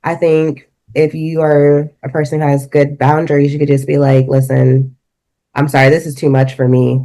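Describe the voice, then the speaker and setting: A woman co-host on a podcast who rambles through her points in quick bursts, full of fillers and asides. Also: sits low, vocal fry, a little mellow. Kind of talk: casual chat.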